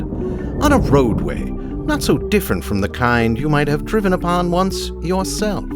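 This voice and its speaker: Man playing 1930s radio narrator, formal, dramatic, genial, very lively pitch, music underneath. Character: portentous, genial male 1930s radio serial announcer. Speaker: narrator and host.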